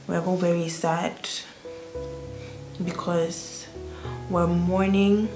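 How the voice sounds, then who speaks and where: A young woman hosting a casual group chat on her channel, playful and quick to laugh. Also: fairly low pitch, roomy sound, music in the background. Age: young adult. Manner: animated, laughs often, playful emphasis. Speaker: host. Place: small room indoors.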